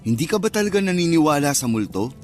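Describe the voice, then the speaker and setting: A man's voice: fairly high pitch, very animated, fast, darkish tone, faint music behind. An actor playing a superstitious, easily spooked young man chatting in slang in a radio drama. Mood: uneasy. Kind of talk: radio drama dialogue, casual banter.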